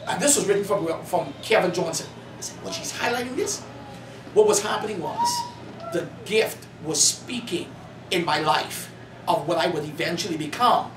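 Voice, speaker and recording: A man in his thirties from the Bahamas, an author and pastor telling his life story in earnest, building to animated emphasis at a cafe event. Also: sits high, moderate pace. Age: 30s. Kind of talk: personal testimony at a bookstore event. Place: coffee cafe in a shopping mall. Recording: roomy, distant, noisy cafe recording.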